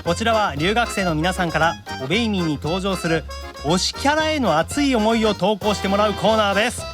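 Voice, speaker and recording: A fairly high-voiced man with a Kansai accent hosts a radio show over music. His delivery swings up and down, with playful, teasing interjections between bits of reading and chat. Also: animated, a little fast. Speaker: podcast host, voice actor. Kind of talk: casual radio banter and listener-letter reading.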